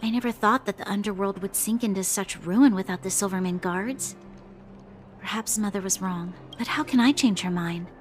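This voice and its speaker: An actress playing an earnest, dutiful young female officer in a video game, speaking in a measured, formal, reflective way. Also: medium pitch, lightly acted. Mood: conflicted, sombre. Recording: faint music underneath.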